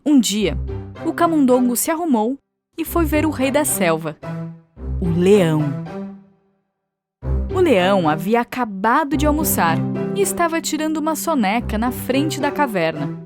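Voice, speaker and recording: High-voiced Brazilian woman storyteller. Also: big pitch swings, measured pace, pauses often. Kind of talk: scripted children's fable narration. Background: children's music.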